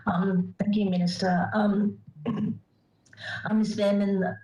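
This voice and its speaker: A woman commissioner joining a hearing remotely, speaking in a measured, composed way. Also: medium pitch, flat delivery, mellow, dull audio. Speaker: mental health commissioner. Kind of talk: formal hearing testimony. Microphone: remote call line. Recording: echoey remote line with faint noise.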